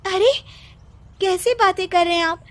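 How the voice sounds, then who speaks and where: A woman's voice: extremely high pitch, a little slow. A woman playing a wronged young village girl, earnest and pleading, in a cartoon story. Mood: indignant pleading.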